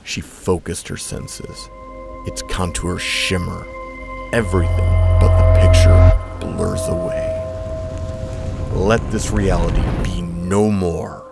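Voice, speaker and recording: A man narrates a story with a low, gravelly voice that ranges widely in pitch. He tells it in a measured, dramatic way. Music plays clearly behind him. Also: steady tone.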